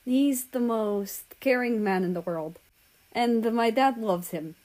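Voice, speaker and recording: A woman's voice: a little high, takes it slowly, a little mellow. A woman voicing an earnest, rambling teenage boy who confides hesitantly in his friends in a story. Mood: earnest, embarrassed.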